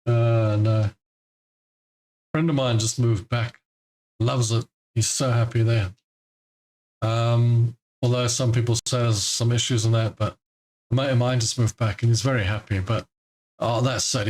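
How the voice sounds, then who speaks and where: A man's voice: fairly low pitch, fairly even intonation, measured pace, long pauses, darkish tone, rough recording. Live-stream host, male, born in Scotland and raised in South Africa, casual rambling chat with frequent laughs. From born in Scotland, grew up in South Africa. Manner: chatty, rambling, laughs often. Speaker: trading livestream host. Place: home in Cape Town.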